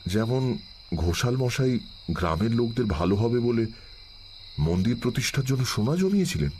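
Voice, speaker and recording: A man plays an earnest, uneasy companion in a suspense radio drama. His voice is lifted well above a deep narrating register, questioning and incredulous. Night insects are heard behind. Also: big pitch swings, moderate pace, a little mellow, a little hiss or hum, natural voice. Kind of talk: audio-drama dialogue.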